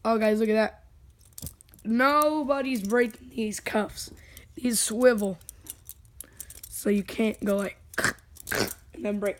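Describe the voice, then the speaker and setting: A young vlogger with a hoarse, worn voice, chatting and joking casually while unboxing. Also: mid-range voice, steady tone, slow pace, long pauses, a little hiss or hum. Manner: chatty, jokey, self-talking. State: hoarse, lost voice.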